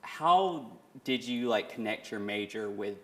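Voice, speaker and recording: A man hosting an interview, asking relaxed questions and saying "y'all" often. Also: lowish voice, a little slow. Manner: relaxed, Southern "y'all" phrasing. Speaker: interview host.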